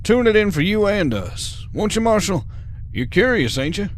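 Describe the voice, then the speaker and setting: Man playing a sly, cocky convict, lively delivery. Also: high voice, normal speed, slight background noise. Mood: coaxing. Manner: smooth-talking, condescending, wheedling. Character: cocky, sly, folksy male veteran space convict. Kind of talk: audio drama acting.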